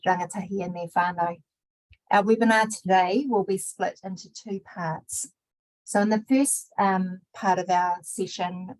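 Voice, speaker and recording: A woman opening and hosting a research webinar, measured, mixing in Māori greetings. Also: mid-range voice, dry recording, low-quality recording. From New Zealand. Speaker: webinar host and research director. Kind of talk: scripted webinar introduction.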